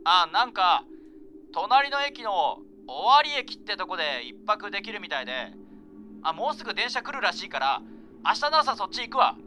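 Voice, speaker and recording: Casting: high-voiced carefree young guy played by a man, blunt and slangy, bright delivery for an amateur radio drama. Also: sing-song, moderate pace, soft background music, full character voice. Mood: casual, searching. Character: carefree, rough-spoken young male traveller in radio drama.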